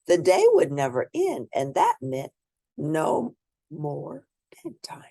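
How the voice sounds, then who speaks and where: A woman's voice: low-pitched, wildly swooping pitch, slow pace, gravelly, warm tone, slightly rough, dry recording, studio quality, full character voice. A woman voicing a stubborn, scheming young boy who pleads and grumbles in a storybook reading. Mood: scheming, grumbling.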